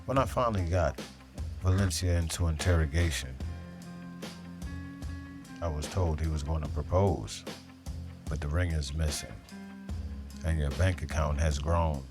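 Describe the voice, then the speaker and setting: A hardboiled detective acted by a man narrates a noir audio drama in an extremely low voice. He is brooding, measured and deadpan. Prominent music plays behind him.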